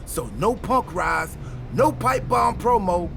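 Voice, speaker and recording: A goofy game-cutscene wrestler with a high, creaky voice. He is scatterbrained and excitable, delivering daft ideas in full earnest. His pitch swings widely, over faint background noise. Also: slow, full character voice. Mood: excited. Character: excitable, goofy, scatterbrained male pro wrestler with a time machine. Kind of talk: scripted video game cutscene dialogue.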